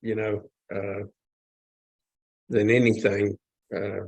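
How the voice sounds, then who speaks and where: Slow-talking man, dry sound. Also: on the low side, little pitch movement, creaky, a little mellow, no echo. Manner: halting, deliberate. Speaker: participant in a software community call. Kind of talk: casual explanation on a call.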